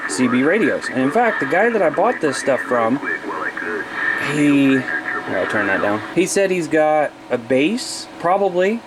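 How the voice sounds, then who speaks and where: A man's voice: on the high side, very animated, unhurried, very noisy. A man chatting in a folksy, informal way as he casually shows off his hobby gear. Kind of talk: casual show-and-tell vlog.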